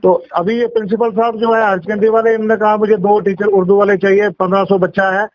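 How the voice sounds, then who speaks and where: A male office functionary explains himself respectfully on a call. His pitch is high for a man. The audio is muffled and low in fidelity, with hardly any room sound. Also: level tone, quick pace, no echo. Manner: deferential, explaining, often says sir. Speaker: education department official. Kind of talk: explanatory phone conversation. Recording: dull lo-fi phone call audio.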